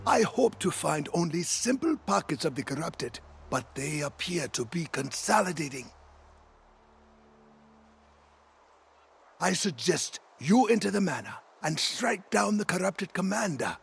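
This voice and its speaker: A man's voice: fairly high pitch, big pitch swings, normal speed, gravelly, hardly any pauses, a little hiss or hum. A man playing an earnest, urgent ally in a video game, speaking in a theatrical, emphatic way. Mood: urgent resolve. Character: earnest, animated male ally warning of spreading corruption. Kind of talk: video game quest dialogue.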